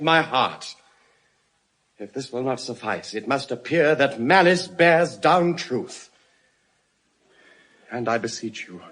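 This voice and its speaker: Husky, impassioned, pleading gentleman. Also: fairly high pitch, full character voice. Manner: urgent, earnest, declamatory. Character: loyal, impassioned gentleman friend pleading in court. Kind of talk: classical stage acting in audio Shakespeare.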